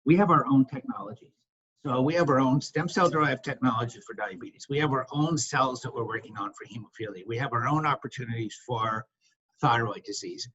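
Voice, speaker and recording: Husky guy exec on an investor conference call, halting, repeats words for emphasis, muffled lo-fi audio. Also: medium pitch, a bit bright. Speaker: company chief executive.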